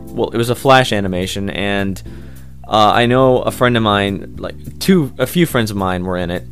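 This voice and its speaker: Low-voiced man chatting casually over music. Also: lively intonation, normal speed. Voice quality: loud voice. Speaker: amateur voice actor being interviewed. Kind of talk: casual unscripted interview answers. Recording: music playing behind the voice.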